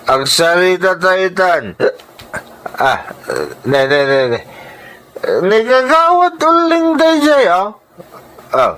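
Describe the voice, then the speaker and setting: A man with an Ilocano accent playing a tipsy, insistent nephew with slurred, drawn-out pleading in a radio drama. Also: high-pitched, animated, bright, forceful tone, full character voice. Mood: drunken, pushy. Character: tipsy, insistent nephew selling his land.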